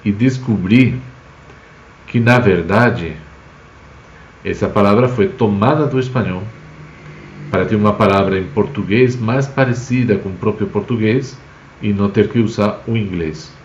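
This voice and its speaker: Male language teacher, Spanish accent, native Spanish speaker, lowish voice, explanatory and informal, dull livestream sound. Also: a little slow, pauses often, reverberant, slight background noise. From Spain, Basque Country. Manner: explanatory, spells out words with examples. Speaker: Spanish teacher, host of a live class. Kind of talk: informal live-streamed language lesson.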